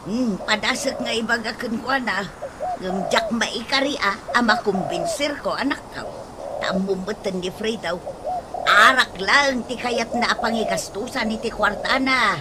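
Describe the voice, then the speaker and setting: Lady doing naggy mother, ilocano accent, fairly high pitched, exclaims a lot, birds and noise behind. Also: a little slow, close to an everyday voice. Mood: weary, complaining. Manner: scolding, exclamatory. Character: nagging, exasperated mother of a stingy grown son. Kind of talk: Ilocano radio comedy drama acting.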